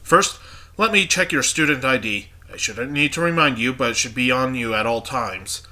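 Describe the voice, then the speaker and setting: Man reading a stiff game class president, formal inspector, congested, very bright. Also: mid-range voice, normal speed. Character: stern male student council president, strict and formal.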